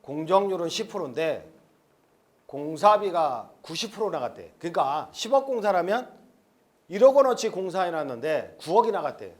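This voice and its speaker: A man's voice: a little high, big pitch swings, normal speed. A man in his fifties giving a frank, rambling seminar lecture at an expo, with many fillers. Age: 50s. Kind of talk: live seminar lecture to an audience.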